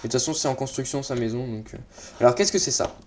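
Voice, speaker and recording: A man's voice: mid-range voice, fast, a little mellow. Congested man, noisy gameplay commentary. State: slightly congested with a cold. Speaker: let's play host. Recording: noisy mic with keyboard clicks.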